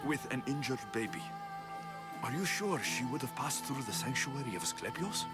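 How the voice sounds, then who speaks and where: An actor playing a determined young Spartan mercenary in a video game, speaking directly and earnestly. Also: male voice, medium pitch, sing-song, moderate pace, loud music behind the voice, natural voice. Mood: questioning, resolute. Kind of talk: cinematic video game acting.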